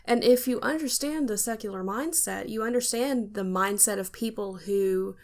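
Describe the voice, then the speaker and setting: A woman's voice: medium pitch, normal speed, a little mellow. An American woman co-hosting a podcast in a casual, conversational style. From United States. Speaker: podcast co-host.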